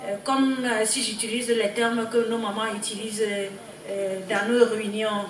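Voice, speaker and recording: A woman presenting a group report to a party meeting, halting and repeating words as she goes. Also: a little high, level tone. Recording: echoey hall, distant mic, background noise.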